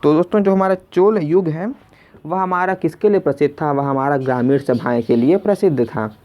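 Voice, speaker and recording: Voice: medium pitch, brisk, muffled recording. A teacher narrating an exam lesson in question-and-answer form, repeating questions and addressing listeners as friends. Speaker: quiz teacher.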